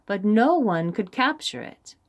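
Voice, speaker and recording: A woman reading a children's story aloud in an expressive, deliberate and dramatic way, pausing for young listeners. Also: mid-range voice.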